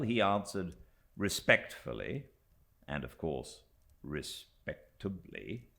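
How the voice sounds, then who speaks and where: Low, creaky British man reading a novel. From Britain. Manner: expressive, deliberate, dramatic pauses. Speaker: audiobook narrator. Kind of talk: scripted literary narration.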